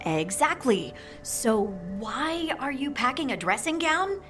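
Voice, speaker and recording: A woman's voice: high voice, big pitch swings, slow, a bit bright, faint music behind, heavily acted. An actress playing a practical, outspoken young outdoorswoman in a video game, plain-spoken and friendly with teasing exasperation. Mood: exasperated, teasing. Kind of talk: video game cutscene acting.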